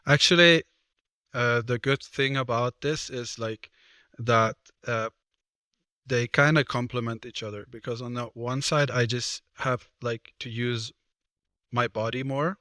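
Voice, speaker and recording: Thirties male guest from Switzerland, halting casual talk, bright and monotone in a dry room. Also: medium pitch, no echo. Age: 30s. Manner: hesitant, many fillers and restarts. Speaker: guest, personal trainer and card game player.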